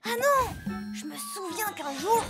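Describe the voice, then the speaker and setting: A woman voicing an excitable, unsure young child in a children's cartoon dub, with a dramatic, wavering, exclaiming delivery. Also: extremely high pitch, unhurried, full character voice. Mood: nervous, excitable. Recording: loud music under the voice.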